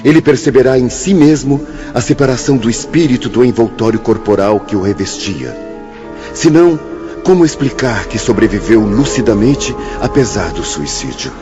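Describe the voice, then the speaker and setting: An actor playing a calm, formal male spirit instructor, speaking didactically in a radio drama. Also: medium pitch, normal speed, natural voice. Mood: solemn, didactic. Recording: muffled audio with music underneath.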